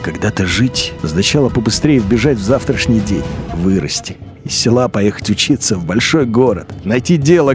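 A man reads a war novel aloud as a radio play, his voice sitting a little high. He is brooding and intense, dropping to low murmurs and then lifting sharply. Faint music plays beneath him. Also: darkish tone. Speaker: narrator. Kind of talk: dramatic literary narration for a radio play.

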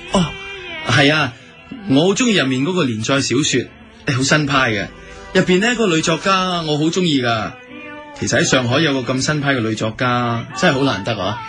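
A man's voice: medium pitch, expressive, a little fast, close to an everyday voice. A man acting in a radio drama with a Hong Kong Cantonese accent, playing a courteous, eager and smitten silk-shop owner. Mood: courteous, interested. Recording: music bed under the voice.

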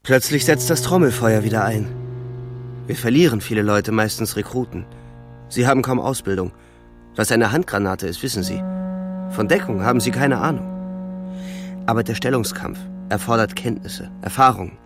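An actor playing a weary young German frontline soldier, narrating the war in a grim, terse literary radio-drama style. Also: male voice, on the low side, normal speed, long pauses, music in the background, natural voice.

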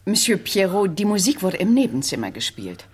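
An actress playing a cool, defiant crime writer under suspicion in a 1970s German radio play, with a husky voice. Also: female voice, medium pitch, big pitch swings, fairly quick, darkish tone, a little hiss or hum, natural voice.

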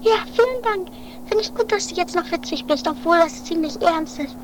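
A woman playing an earnest, theatrical lady tightrope walker in an improvised comic radio play, squeaky and affectedly polite. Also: moderate pace. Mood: tense, earnest. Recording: noisy home-made 1980s radio play recording.

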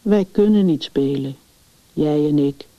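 A woman's voice: sits low, unhurried, no echo. A woman reading the part of a stubborn, sick six-year-old girl in a story, curt and disdainful. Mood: scornful. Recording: dull, muffled lo-fi recording.

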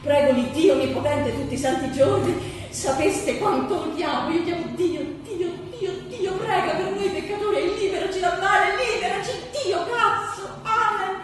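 A woman's voice: very high-pitched, normal speed, few pauses. An actress playing a manic woman selling herself in a satirical stage monologue, theatrical and emphatic, declaiming in short slogans in speech. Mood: fervent, overwrought. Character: manic, self-selling woman job applicant, shifting between personas. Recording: very echoey hall, distant mic, faint noise.

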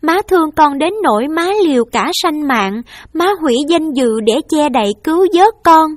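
A young daughter pours out tearful, pleading remorse to her parents, as a woman reads her part. The voice sits high and strained above the reader's usual tone. The recording is close and dry. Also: lively intonation, normal speed, no room sound. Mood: anguished pleading.

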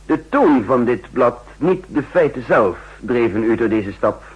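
An actor playing a shrewd, insistent counter-espionage chief in a 1960s radio drama, speaking formally and emphatically with a husky voice. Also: male voice, medium pitch, lively intonation, a little slow. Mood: insistent, persuasive. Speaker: narrator and main character. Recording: muffled old 1960s radio recording.

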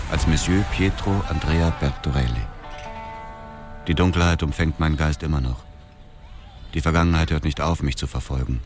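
A cold widower turned killer who narrates his own radio play. His voice is extremely deep and he speaks with detached deliberation. Music plays clearly behind him. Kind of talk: radio drama first-person narration.

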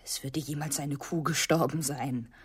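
A cool, very husky farmwife. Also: female voice, low voice, steady tone, moderate pace. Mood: cool reproach. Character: cool, defiant farmer's wife, unweeping. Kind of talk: literary radio drama acting.

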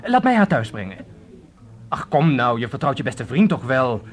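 A man acting in a radio drama as a smooth-talking, persuasive best friend. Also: medium pitch, very animated, normal speed, lightly acted.

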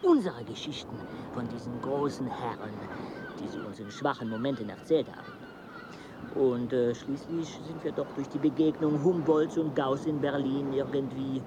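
A man's voice: mid-range voice, moderate pace, background noise. An actor playing an eager male naturalist who urges his companion on and tells stories in a radio play. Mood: earnest. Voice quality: urging, animated storyteller.

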